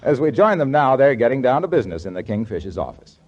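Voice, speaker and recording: A man's voice: mid-range voice, sing-song, quick pace, a little mellow. A man narrating as a 1940s radio announcer from a script. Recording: muffled 1940s radio recording.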